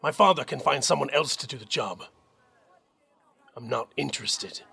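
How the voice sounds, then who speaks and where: Guy acting a young fortress captain, earnest, husky creaky voice, audio drama. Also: medium pitch, animated, moderate pace, bright, forceful tone, exaggerated voice. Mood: firm, resolute. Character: dutiful young male army captain commanding a fortress.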